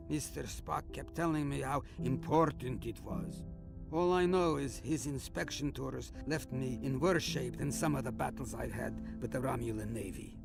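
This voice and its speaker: A jovial veteran starship captain in a sci-fi audio drama, acted by a man. He is an older man with a creaky, mid-pitched voice and wry, theatrical bluster. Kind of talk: science fiction audio drama acting.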